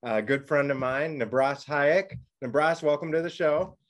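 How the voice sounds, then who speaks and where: A man's voice: a little high, moderate pace. A man hosting an interview show, friendly and casual, often restarting his questions. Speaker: host.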